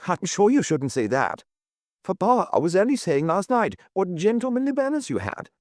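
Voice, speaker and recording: Giggly young lady tourist, a man's falsetto. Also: sing-song, moderate pace, darkish tone, dry recording, studio quality. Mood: gushing.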